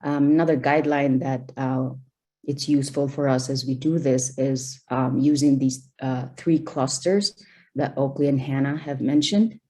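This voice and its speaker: A young woman from Albania speaks on a video call, presenting research as a doctoral candidate. Her voice sits very low and sounds soft and mellow. She pauses often and fills the gaps with ums and restarts. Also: fairly even intonation. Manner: hesitant, many fillers and restarts. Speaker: PhD candidate panelist.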